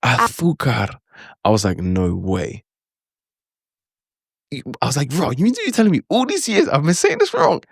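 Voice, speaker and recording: A young man talks casually about learning a language, chatty and animated, acting out his own reactions. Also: a little high, unhurried, creaky, pauses often, husky, no room sound, studio quality. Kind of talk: casual talking-head advice.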